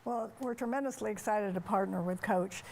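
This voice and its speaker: A woman's voice: mid-range voice, fairly quick, gravelly, a little mellow, slight background noise. A woman speaking earnestly and articulately as a museum representative being interviewed. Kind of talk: conversational TV interview.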